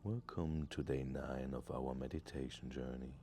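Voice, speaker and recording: A man gently leading a guided meditation in a soothing, deliberate way with long pauses. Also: rumbling low, fairly even intonation, slight background noise. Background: music.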